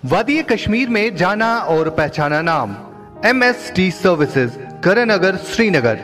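A male advertising voice with a fairly high pitch. He reads promotional copy slowly and deliberately, like a list, while music plays behind. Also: sing-song, a bit bright. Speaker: advertisement voice-over. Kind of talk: scripted promotional narration.

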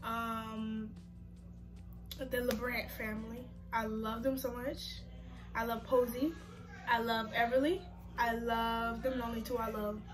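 Chatty young woman losing her voice. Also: a little high. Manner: rambling, chatty, with filler "like". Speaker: reaction video host. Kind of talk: casual slangy chat to viewers.

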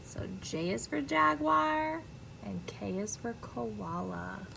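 A woman's voice: fairly high pitch, lively intonation, slow, creaky, sharp tone, a little hiss or hum, rough recording. An American woman, around her thirties, reads a children's picture book aloud like a teacher, expressively and carefully, with friendly asides to young listeners. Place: indoor classroom.

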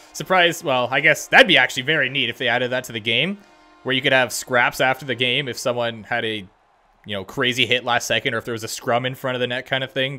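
A young man with a bright, forward voice at a middle pitch commentates casually over his own gameplay. He reacts play by play in slangy sports talk and wanders into playful, self-mocking asides. He speaks close to a desk microphone indoors. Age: young adult. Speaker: gaming video host playing a hockey game. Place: indoors at a home gaming setup. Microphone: close desk microphone, wearing headset.

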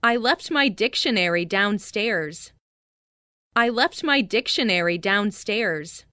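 A woman reading short example sentences with careful, deliberate enunciation for a listening lesson, in a dry studio voice-over. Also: medium pitch, expressive, sharp tone, dry recording. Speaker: listening practice speaker. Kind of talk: slow read example sentences.

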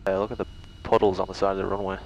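A man's voice: deep voice, flat delivery, very fast, gravelly, lo-fi sound. A man in his twenties, a pilot making radio calls and talking tersely through a headset in a small plane's cockpit. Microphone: aviation headset boom mic. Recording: aircraft headset intercom with engine noise.

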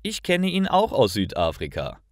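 A man's voice: mid-range voice, wildly swooping pitch, moderate pace, no echo, clean studio sound, voice pushed up. A man voicing a nervous, earnest young woman traveller in a story reading, with careful articulation. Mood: anxious, explaining.

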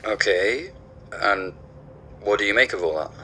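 A man's voice: fairly low pitch, animated, unhurried, sharp tone, a little hiss or hum, heavily acted. An actor playing a casual, sceptical man in conversation with his colleague in an audio drama.

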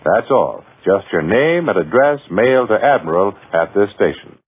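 Man, vintage commercial announcer, husky, very animated, lo-fi radio recording. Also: on the low side, moderate pace. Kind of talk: vintage radio advertisement read.

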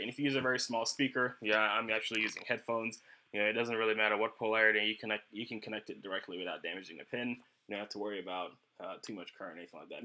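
Low-pitched man giving a casual tutorial. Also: sharp tone. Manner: informal, rambling explanation with frequent "you know". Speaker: electronics hobbyist presenter. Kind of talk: casual unscripted tutorial narration over screen capture.

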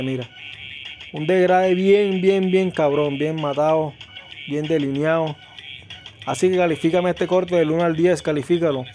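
A man, narrating a haircut in his small barbershop in an excited, slangy way, repeating words for hype. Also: a little high. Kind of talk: casual haircut vlog narration.

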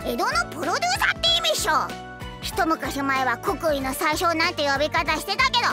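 Tiny mischievous monkey mascot for anime: extremely high squeak, animated, bragging and cute. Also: fairly quick, over music. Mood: boastful. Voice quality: squeaky, cartoonish. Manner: cheeky, bragging, quirky verbal tic ending sentences. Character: cute boastful mischievous little monkey mascot, self-styled producer. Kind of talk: anime voice drama.

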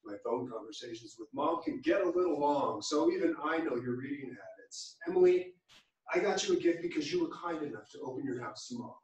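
A man's voice: fairly high pitch, expressive, fairly quick, darkish tone. A man acting a chatty, teasing grown son in a staged theatre reading. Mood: cheerful, charming. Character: chatty, teasing grown son charming his mother's friends. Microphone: distant camera. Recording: echoey theatre, recorded from far off.

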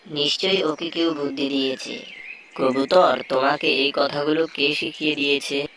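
A woman voicing a sly, greedy male fox in a children's animated folk tale, wheedling and menacing. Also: low-pitched, moderate pace, a crowd in the background, lightly acted. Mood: scheming, threatening.

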